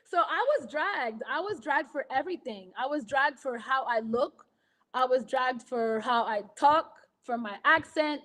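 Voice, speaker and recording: A woman with an American accent and a high voice tells her career story in a casual interview. She is animated, laughs easily and acts out other people's voices as she goes. She sounds like a woman in her thirties. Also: unhurried. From Kenya. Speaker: guest artist.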